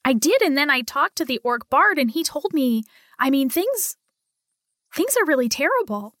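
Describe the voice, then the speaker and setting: A woman's voice: sits high, big pitch swings, normal speed, a bit bright, dry recording, clean studio sound. A woman playing in a tabletop game, speaking eagerly and earnestly in character. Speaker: player.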